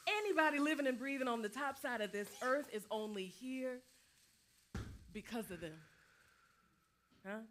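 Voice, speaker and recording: A woman's voice: high voice, expressive, measured pace, long pauses, sharp tone, a little hiss or hum, heavily acted. A woman acting a restless female soldier's ghost in a staged play reading, taunting and insistent in vernacular speech.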